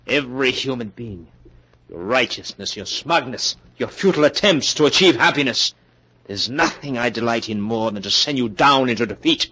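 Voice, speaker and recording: An actor playing the Devil as a suave, mocking, menacing lover in a 1940s radio melodrama. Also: male voice, medium pitch, lively intonation, pushed and bright, husky. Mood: gloating contempt. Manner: slow, drawn-out, menacing, mocking delivery. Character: the Devil as a suave, sneering, gloating male lover. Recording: dull vintage 1940s radio recording.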